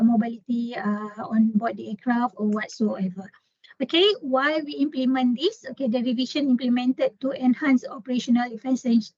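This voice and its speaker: A woman explaining a work procedure in an informal briefing, halting often as she searches for words. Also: fairly high pitch, darkish tone, no echo, dull audio. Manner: halting, explanatory, with frequent hesitations. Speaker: trainer presenting a staff procedure.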